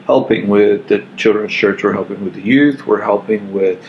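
Low-voiced reflective man. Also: moderate pace, muffled recording, slight background noise. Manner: reflective, listing in repeated phrases. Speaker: podcast guest. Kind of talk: casual discussion.

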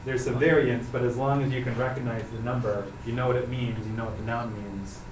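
A young man teaching a language class, explaining patiently with relaxed fillers. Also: mid-range voice, brisk. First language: English. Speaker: Hebrew teacher. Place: carpeted classroom, students seated around. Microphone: far camera. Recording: echoey room from far off, noisy.

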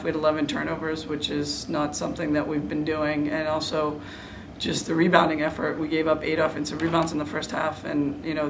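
Middle-aged female coach, postgame interview: heavy vocal fry, even delivery, frank and serious. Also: sits low, background noise. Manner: frank, self-critical, serious. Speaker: head basketball coach. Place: indoor room before a press backdrop.

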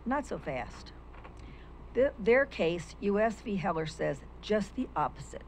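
A woman's voice: lowish voice, slight background noise, poor audio. Older woman giving formal public comment. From United States. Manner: formal, argumentative, reads quotes from legal texts. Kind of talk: prepared public comment read aloud. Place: county meeting room, indoors. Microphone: stand microphone at a lectern.

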